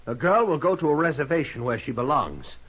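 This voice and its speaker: An actor playing a cold, domineering rich husband from the East, clipped and pompous, in a 1950s radio western. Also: male voice, fairly high pitch, very animated, a little fast, fairly bright tone, breathy edge, full character voice. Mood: indignant, imperious. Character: haughty, cold, domineering wealthy Eastern husband. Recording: muffled 1950s radio recording.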